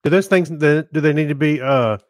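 A man's voice: fairly high pitch, a little fast, dry recording, studio quality. A man co-hosting a podcast in casual, conversational style, reacting to his partner. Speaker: podcast co-host. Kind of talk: casual chat, reacting to co-host.

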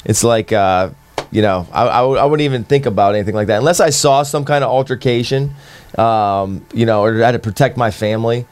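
A man speaking as a podcast guest in calm, thoughtful casual chat, with many fillers. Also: mid-range voice, brisk, clear, resonant tone.

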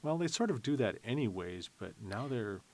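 A man's voice: medium pitch, big pitch swings, normal speed, a crowd in the background. A man speaking as a podcast guest in casual chat, thinking aloud hesitantly as he answers. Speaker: podcast guest, festival co-chair.

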